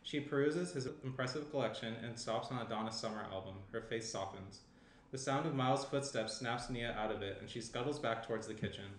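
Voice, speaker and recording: Young male narrator, scripted screenplay directions, mid-pitched voice, roomy echo, faint noise. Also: fairly quick. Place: indoor room, seated reading from tablet. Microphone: shared desk microphone, some distance.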